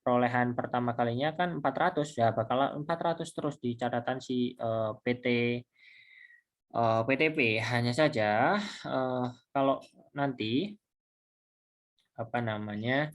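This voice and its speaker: A man giving an informal step-by-step tutorial as a lecturer, halting and repeating himself in a conversational way. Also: medium pitch. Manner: halting, repetitive, conversational, with self-posed questions. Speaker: accounting lecturer.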